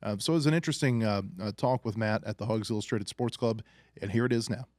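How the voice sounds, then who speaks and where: A man hosting a podcast, speaking in a casual presenter style with some hesitant pauses. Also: on the low side, a little mellow, no room sound, clean studio sound. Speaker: podcast host. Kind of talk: scripted ad read and casual show introduction.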